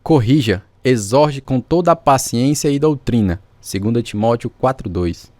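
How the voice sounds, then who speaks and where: An actor playing a resolute, devout pastor in a radio drama, speaking in a solemn, formal way. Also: male voice, medium pitch, animated, normal speed, mellow, faint music behind, natural voice. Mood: solemn resolve. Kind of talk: scripted radio drama dialogue.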